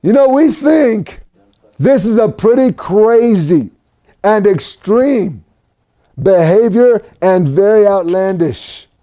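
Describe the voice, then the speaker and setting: A man from Mexico preaching a sermon for an online service, animated and rising to shouts at his peaks. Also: high voice, slow pace. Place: home recording for a virtual service.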